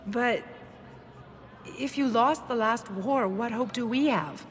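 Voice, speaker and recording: An actress playing an earnest civilian admirer in a video game. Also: female voice, fairly high pitch, slow. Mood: anxious. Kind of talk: cinematic video game acting. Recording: long hall reverb.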